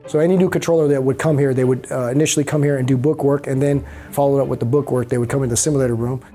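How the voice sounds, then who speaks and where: Fast-talking mellow man. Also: medium pitch, steady tone, faint music behind. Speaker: air traffic controller. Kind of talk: casual interview explanation.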